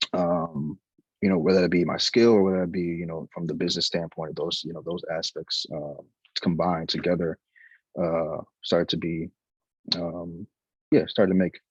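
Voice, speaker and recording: Interview guest: young man, deep and husky, dry muffled sound, halting delivery. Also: no room sound. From Liberia. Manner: hesitant, many fillers and restarts. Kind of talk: casual career interview.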